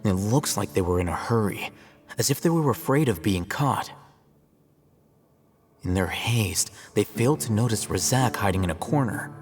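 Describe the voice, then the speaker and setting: An actor playing a composed, analytical young scholar in a video game, speaking in a measured, matter-of-fact way. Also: male voice, on the low side, animated, long reverb tail, music in the background, natural voice. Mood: composed, explaining.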